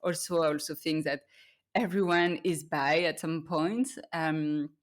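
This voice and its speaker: A woman's voice: low voice, steady tone, measured pace. A native French-speaking woman with a French accent, casually chatting as a podcast interviewer.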